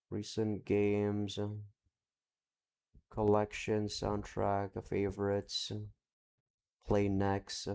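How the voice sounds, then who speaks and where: A young man with a fairly low voice gives a loose, unscripted update to his viewers. He rambles and breaks off mid-sentence, peppering his talk with casual swearing as he searches his screens. It is recorded in his room at home. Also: a little mellow. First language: not Japanese. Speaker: visual novel let's play creator. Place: home room with several monitors.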